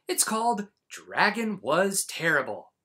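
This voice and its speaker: A man in his forties reading a children's storybook aloud, with dramatic, exaggerated emphasis and pauses. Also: high voice, very animated, sharp tone, slightly rough. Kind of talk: animated storybook read-aloud.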